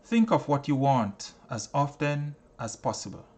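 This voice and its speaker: A man narrating a self-help audiobook in a measured, earnest manner, with deliberate phrasing and frequent pauses. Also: medium pitch, warm tone.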